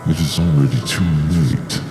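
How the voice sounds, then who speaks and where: A man playing an ancient evil demon in a horror audio drama, growling deeply with haughty, gloating contempt. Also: steady tone. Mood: gloating menace. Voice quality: deep gravelly growl. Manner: slow, haughty, drawn-out delivery. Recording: echoey, music underneath.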